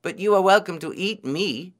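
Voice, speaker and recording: Man voicing an earnest, generous storybook hare, raised pitch. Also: moderate pace, natural voice. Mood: offering, resolute.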